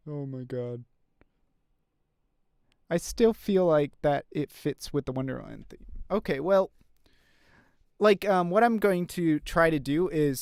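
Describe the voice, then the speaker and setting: A man's voice: on the high side, a little mellow, smooth, no echo, studio quality. Man thinking aloud while composing. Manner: thinks aloud, many fillers and trailing half-sentences; talks to chat, reads out viewer comments. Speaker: composer streaming his work. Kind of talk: casual live-stream commentary while composing.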